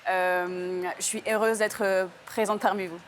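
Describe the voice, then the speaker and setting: A woman's voice: mid-range voice, level tone, a little slow, slight background noise. A woman from French Guiana giving reflective, carefully phrased interview answers. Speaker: delegation member, interviewee.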